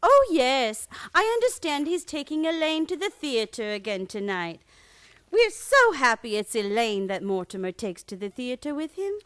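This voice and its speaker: A woman's voice: sits high, big pitch swings, moderate pace, a bit bright, clean tone. A woman playing a genteel, chatty, doting old aunt in a radio play, sweet and fussing as a hostess. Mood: hospitable, chatty. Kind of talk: stage-style radio play acting.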